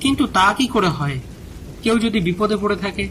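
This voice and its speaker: A man's voice: high-pitched, sing-song, fairly quick, a bit bright, a little hiss or hum, low-quality recording. A man voicing a worried, bewildered village fisherman in a cartoon, with a hesitant manner. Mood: puzzled. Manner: hesitant, repeating words.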